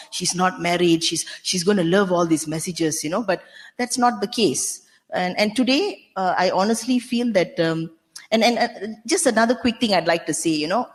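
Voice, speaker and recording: Middle-aged woman, mid pitch, candid panel speaker, hall reverb. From Malaysia. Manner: candid, emphatic, frequent "you know" and restarts. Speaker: panelist, senior legal counsel. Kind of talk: conference panel talk. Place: conference hall.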